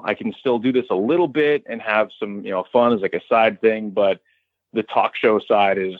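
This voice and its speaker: A man's voice: fairly low pitch, dry recording, narrow, tinny audio. An American man in his thirties, a sports radio announcer, talking conversationally and at length as an interview guest. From Westchester County, New York, United States. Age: 30s.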